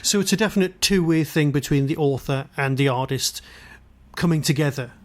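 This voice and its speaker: A man's voice: on the high side, brisk. A man hosting a podcast interview, chatting informally and jokingly with his guest. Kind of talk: casual interview chat.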